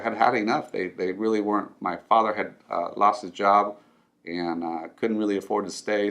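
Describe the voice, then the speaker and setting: Low gravelly-voiced middle-aged man. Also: fairly bright tone. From Mexico. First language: Spanish. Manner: earnest, with frequent ums and restarts. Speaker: financial firm owner. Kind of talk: personal story told to camera.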